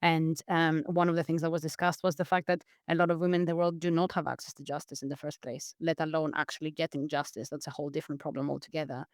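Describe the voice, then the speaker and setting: A woman's voice: low-pitched, flat delivery, fast talker, hardly any pauses, no room sound, clean studio sound. A woman from Greece, a podcast guest, talking earnestly in an interview and often restarting her sentences. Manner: earnest, with frequent restarts and repeated words. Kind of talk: reflective interview talk.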